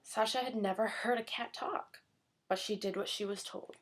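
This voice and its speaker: A woman reading a children's storybook aloud in an expressive scripted narration style. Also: mid-range voice, moderate pace. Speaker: storybook reader.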